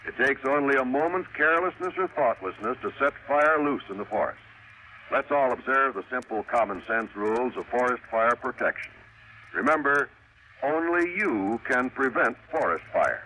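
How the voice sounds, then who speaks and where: A man announcing on mid-century radio, reading a script with grave, emphatic and deliberate phrasing. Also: medium pitch, expressive, pushed and bright. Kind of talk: 1950s radio announcing, scripted public service narration. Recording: noisy vintage radio broadcast.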